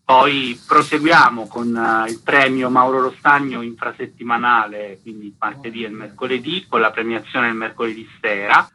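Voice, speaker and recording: A man's voice: mid-range voice, steady tone, normal speed, hardly any pauses, pushed and bright, a little hiss or hum, low-quality recording. A man answering interview questions as an artistic director, explaining his project. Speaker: interview guest, theatre festival artistic director.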